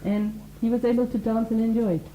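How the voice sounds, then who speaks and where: A woman's voice: fairly high pitch, steady tone, fairly quick, roomy sound, lo-fi sound, a little hiss or hum. A woman who teaches mindfulness and talks earnestly on a radio show, explaining ideas through everyday examples and acted-out quotes. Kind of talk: conversational radio talk.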